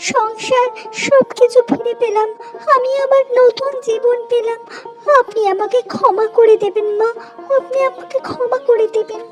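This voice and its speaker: A woman voicing a young, demanding daughter-in-law in an animated family melodrama, with a shrill, insistent manner. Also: moderate pace, music in the background. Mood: remorseful.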